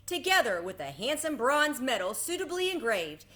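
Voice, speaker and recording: A woman voicing a booming, pompous male fairground announcer from a storybook, with a declamatory, oratorical delivery. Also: big pitch swings, normal speed, sharp tone, heavily acted. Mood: formal, proud.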